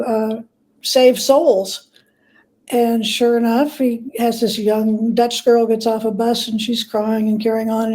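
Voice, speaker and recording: Female interview guest, higher register, relaxed timbre, conversational storyteller who voices quoted dialogue. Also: poor audio. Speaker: guest biographer.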